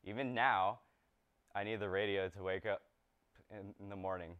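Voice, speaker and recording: A man's voice: lowish voice, steady tone, moderate pace, a bit bright, smooth. A young man presenting from a small TV studio, delivering scripted lines in an earnest, rehearsed way. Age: young adult. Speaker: student presenter.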